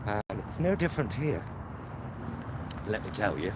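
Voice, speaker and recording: A man's voice: on the low side, big pitch swings, normal speed. A man vlogging on a walk outdoors, chatting casually and meandering, often trailing off. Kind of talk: casual rambling chat to camera. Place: outdoors on a walk near a motorway. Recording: noisy outdoor recording with traffic.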